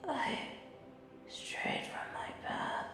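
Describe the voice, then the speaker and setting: A woman playing a proud, guilt-burdened angel of judgment in a dramatic audio roleplay monologue, with halting, intense delivery. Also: in a whisper. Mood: troubled, burdened. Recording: cavernous echo with music behind.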